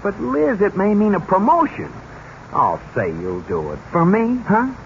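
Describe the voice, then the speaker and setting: A muffled old radio recording carries a coaxing sitcom husband. His pleading voice is high and gravelly, with extremely swooping intonation. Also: wildly swooping pitch, a little slow, a little hiss or hum, heavily acted. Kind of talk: 1950s radio sitcom acting.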